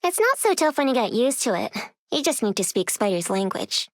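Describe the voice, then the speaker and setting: A woman's voice: fairly high pitch, sing-song, fairly quick, no echo, clean studio sound. An actress voicing a spirited, self-assured young girl who pilots a suit in a video game, speaking casually. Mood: confident, upbeat.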